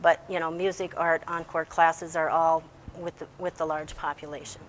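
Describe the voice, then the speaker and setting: A woman's voice: deep voice, little pitch movement, normal speed, poor audio. Woman, schoolteacher in an interview. Explanatory, plain-spoken delivery, slight background noise. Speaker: English language teacher.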